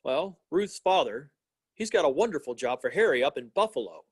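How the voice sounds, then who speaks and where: A man's voice: fairly high pitch, lively intonation, a little fast, husky, no room sound. A man playing an earnest, idealistic young small-town fellow in an old-time radio play, plain-spoken and given to repeating himself. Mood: wry, subdued.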